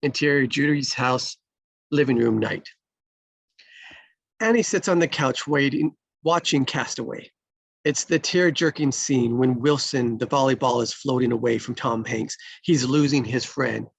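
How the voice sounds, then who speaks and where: A narrator reads out screenplay action lines. The voice is gravelly and rough-edged, recorded close and dry. The delivery is plain scripted narration. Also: medium pitch, measured pace, dry recording. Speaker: stage-direction reader.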